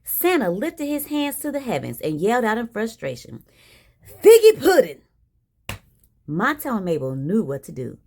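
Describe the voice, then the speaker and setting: A woman reading a children's picture book aloud in an expressive style with dramatic emphasis. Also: mid-range voice, moderate pace, gravelly, hardly any pauses. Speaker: storybook reader. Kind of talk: animated children's read-aloud.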